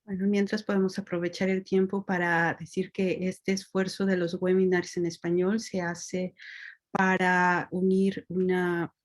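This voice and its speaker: Brief: woman, low, flat-toned moderator, steady and explanatory on a call. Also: a little slow. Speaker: webinar moderator. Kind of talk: introducing a webinar.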